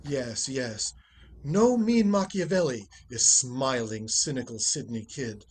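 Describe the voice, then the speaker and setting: A man's voice: medium pitch, lively intonation, slow pace, a little hiss or hum. A man playing a wry, urbane, unruffled ex-husband in a radio play, speaking with dry politeness. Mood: dry, amused. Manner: dry, polite, wryly amused.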